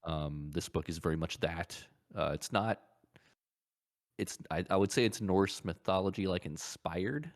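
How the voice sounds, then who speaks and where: A man with a low voice speaks as a podcast co-host. His delivery is informal and conversational, like casual chat between friends. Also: a little slow.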